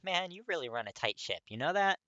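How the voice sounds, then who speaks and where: A man's voice: sits high, big pitch swings, brisk, pushed and bright, no room sound, lo-fi sound, voice pushed up, exaggerated voice. A man voicing an earnest, friendly teenage boy in a casual, eager, emphatic way for a story reading. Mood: surprised, eager.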